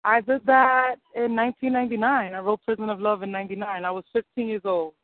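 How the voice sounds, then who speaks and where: A woman's voice: medium pitch, steady tone, no room sound. Upbeat New woman, tinny phone sound. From New York City. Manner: upbeat, eager, quick to laugh. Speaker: singer and rapper guest. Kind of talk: casual interview answers.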